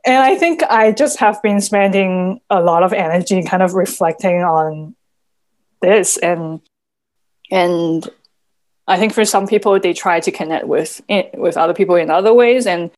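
Woman, native Cantonese speaker, podcast guest, low voice, reflective, soft-spoken. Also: measured pace. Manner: thoughtful, soft-spoken, many "like" fillers, quiet laughs. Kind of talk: reflective conversation.

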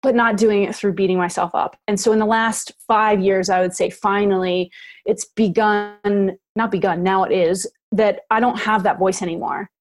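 A woman's voice: medium pitch, steady tone. Reflective woman in her forties. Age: 40s. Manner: candid and reflective, quick bursts with laughs. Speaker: podcast guest. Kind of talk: casual interview chat.

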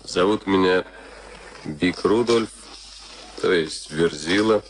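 An actor in a Soviet radio play as a big, laconic, self-satisfied young biker. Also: male voice, low-pitched, slow pace. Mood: offhand, cocky. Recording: noisy archival radio recording.

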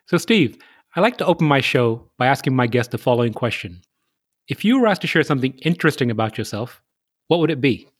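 A man's voice: mid-range voice, sing-song, fast talker. A man from Southeast London hosting a podcast, reading a guest introduction and chatting in a friendly, conversational way. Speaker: podcast host. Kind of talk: podcast interview.

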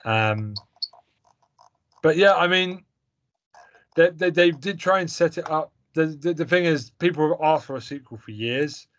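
A man's voice: on the high side, animated, measured pace, long pauses, a bit bright. A young man co-hosting a podcast, chatting about a film in an opinionated, rambling way with lots of fillers and repeated words. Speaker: podcast co-host. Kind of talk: casual chat reviewing a film.

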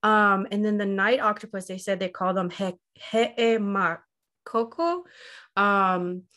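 A woman's voice: medium pitch, slow, a bit bright. A woman guest on a podcast, a little stuffy-nosed, explaining in an easygoing way with many fillers and "like"s. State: stuffy nose. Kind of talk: casual podcast chat.

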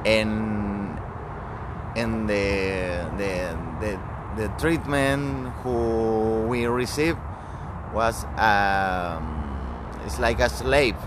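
A man from Chile with a Chilean Spanish accent, speaking halting English with many restarts as an interview guest. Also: on the low side, noisy background.

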